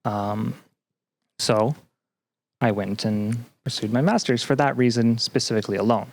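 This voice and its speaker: A man talking casually as an interview guest, halting often as he searches for words. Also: mid-range voice. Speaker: podcast guest, graduate student.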